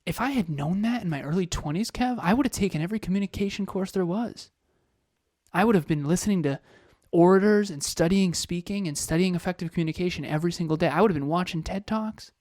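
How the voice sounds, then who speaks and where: A man's voice: sits high, fast, darkish tone, dry recording, studio quality. A man in his thirties co-hosting a motivational podcast, talking with energy, firing off rhetorical questions and repeating phrases. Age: 30s. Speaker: podcast co-host.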